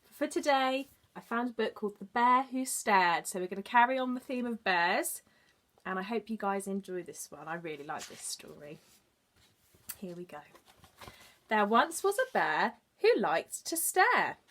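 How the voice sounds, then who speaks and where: A woman's voice: medium pitch, sing-song, moderate pace, a bit bright. A woman teacher reading a children's storybook expressively, adding explanatory asides for young listeners. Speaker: early years teacher reading aloud.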